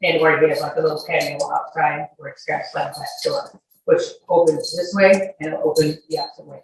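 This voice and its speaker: Lady testifying in court, lowish voice, rambly and casual, far from the mic. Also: fairly even intonation, brisk. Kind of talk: informal testimony. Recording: echoey courtroom, distant mic, faint noise.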